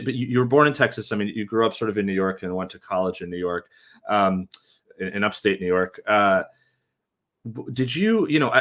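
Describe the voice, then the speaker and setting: Male podcast host, low pitch, bright edge, friendly and filler-heavy. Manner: friendly, hesitant questioner with many fillers. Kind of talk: interview hosting.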